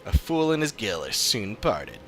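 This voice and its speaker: Man putting on sly low-toned swindler. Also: very animated, normal speed, gravelly. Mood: smug, slippery. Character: sly, smug swindling map merchant. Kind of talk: scripted video game dialogue. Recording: game music behind voice.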